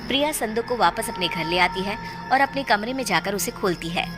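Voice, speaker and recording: A woman's voice: mid-range voice, expressive, brisk. A woman narrator reading a scripted horror story in a storytelling style. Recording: clear music bed under the voice. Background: background music.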